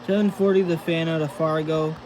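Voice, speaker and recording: A man giving a casual hands-on product review, thinking aloud in a halting way as he demonstrates. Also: fairly high pitch, fairly even intonation. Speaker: amateur gadget reviewer. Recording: noisy homemade recording with radio static.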